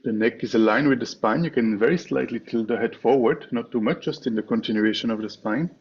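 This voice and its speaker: A man teaching a guided meditation in a measured way, pausing often between short phrases. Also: mid-range voice. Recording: dull, muffled online call with clear background noise.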